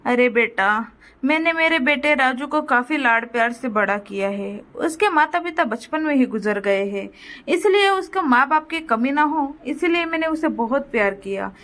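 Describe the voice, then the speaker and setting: Woman playing old Indian village grandfather, cartoon story, high voice, worried, pleading, lamenting. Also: expressive, normal speed, fairly bright tone. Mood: troubled. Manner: lamenting, pleading, repeats himself. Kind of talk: moral story cartoon dialogue.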